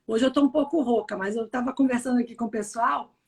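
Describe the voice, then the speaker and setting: Brief: high, husky woman, hoarse, gentle supportive co-host. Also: fast. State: hoarse, short of voice. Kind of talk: live religious chat.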